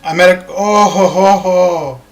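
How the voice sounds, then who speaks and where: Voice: medium pitch, a little slow, rough recording. A streamer in their thirties from northern Canada, chatting casually with viewers in a rambling, hesitant way with many fillers. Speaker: game streamer. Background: faint background music.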